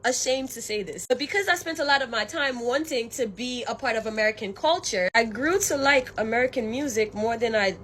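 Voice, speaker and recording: A woman's voice: a little high, fairly quick, few pauses, fairly bright tone, a little hiss or hum. A young woman from Haiti in her twenties, chatting candidly and animatedly to her viewers, often cursing. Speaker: vlogger. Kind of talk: casual chat and storytelling.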